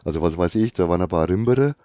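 A man with a Franconian accent hosting a casual chat, enthusiastic and quick to praise. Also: sits low, animated, dark tone, no echo. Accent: Franconian German. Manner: enthusiastic, repeats "herrlich, wundervoll".

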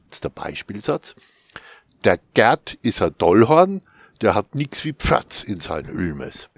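A man with a Franconian accent, co-author of a quiz book, chatting casually and reading quiz questions with lively emphasis. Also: fairly low pitch, takes it slowly, gravelly, no room sound. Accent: Franconian German. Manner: animated, laughs, whispers asides. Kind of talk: casual chat.

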